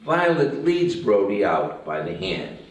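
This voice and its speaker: A man's voice: low-pitched, huge pitch swings, unhurried, a little hiss or hum. A man reading out stage directions in scripted narration at a table read. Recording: very echoey room, distant mic.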